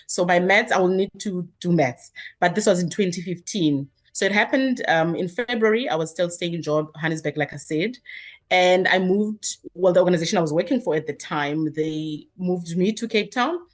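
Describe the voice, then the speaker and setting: A woman's voice: fairly low pitch, moderate pace. A woman, answering interview questions earnestly at length with many fillers and restarts. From South Africa. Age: 30s. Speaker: guest, activist.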